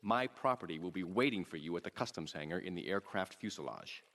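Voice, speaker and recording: A low-voiced, pompous crime patron. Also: male voice, lively intonation, fairly bright tone, full character voice. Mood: calculating. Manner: measured, pompous, businesslike. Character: composed, calculating, wealthy male crime patron. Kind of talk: video game mission briefing.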